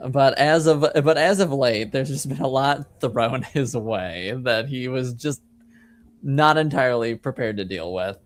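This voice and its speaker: A man working as game master, narrating a tabletop game in a casual, halting, conversational way. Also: mid-range voice.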